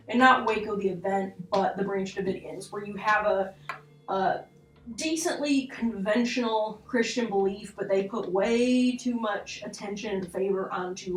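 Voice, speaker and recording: A young adult woman giving casual video commentary on horror, informal and sweary, with self-deprecating asides. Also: medium pitch. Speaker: video essay host. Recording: roomy echo, distant mic, music underneath.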